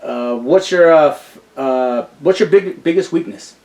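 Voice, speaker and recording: A man's voice: fairly high pitch, a little slow, darkish tone, distant mic. A man hosting a casual interview in a laid-back, encouraging way, with many fillers. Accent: American. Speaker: interview host.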